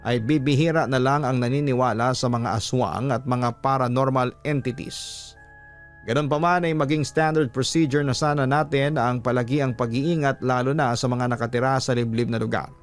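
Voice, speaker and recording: A man's voice: mid-range voice, normal speed. A man with a Filipino accent hosting a story program, reading in a scripted narration style. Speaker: host reading a listener's horror letter. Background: music.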